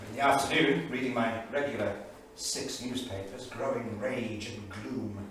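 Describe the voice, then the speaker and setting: A man's voice: medium pitch, unhurried, fairly bright tone, lightly acted. A man playing an anguished, middle-aged English writer and war veteran in a staged diary reading, sardonic, emphatic and literary in delivery. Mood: wry gloom. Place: small theatre studio. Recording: echoey theatre, distant mic, faint noise.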